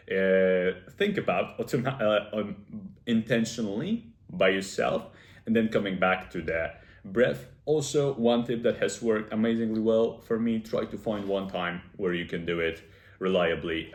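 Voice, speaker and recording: A young man giving casual, earnest self-improvement advice, explaining step by step with frequent pauses. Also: lowish voice, echoey room. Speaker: self-improvement video host. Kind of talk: casual advice talk to camera.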